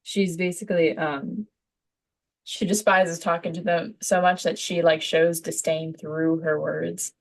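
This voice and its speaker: Slightly low-voiced woman student. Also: level tone, unhurried. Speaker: voice acting student. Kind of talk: casual chat in a lesson.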